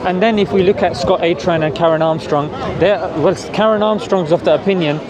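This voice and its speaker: A man explaining his faith to a passerby in a busy public space, persuasive and steady, checking in with "yeah?" as he goes. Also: high-pitched, a little fast. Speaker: street preacher. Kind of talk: outdoor conversation. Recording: loud crowd all around.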